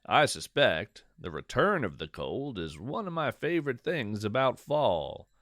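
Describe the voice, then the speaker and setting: A man playing a whimsical, contemplative naturalist narrating a poetic, folksy podcast monologue in a measured, savoring way. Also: mid-range voice, sing-song, a bit bright, dry recording, clean studio sound. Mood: wistful, savoring. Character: whimsical wandering male naturalist, contemplative lover of strange nature.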